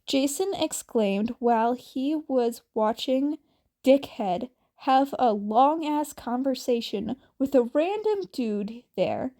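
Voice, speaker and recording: A woman reading fan fiction aloud as an amateur narrator, in an informal, slightly halting way. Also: high-pitched, expressive, dark tone. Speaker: amateur podfic reader.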